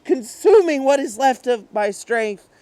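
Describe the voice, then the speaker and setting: A terrified madman voiced by a man narrates a horror story in a high voice. The pace is slow and halting, breathy and theatrical, with worn-out desperation. The sound is very dry, with no room around it. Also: animated, no room sound. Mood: manic anguish. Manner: breathy, halting, theatrical, swinging from hush to outburst. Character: terrified, exhausted madman narrator hunted by demons. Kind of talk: dramatic horror monologue reading.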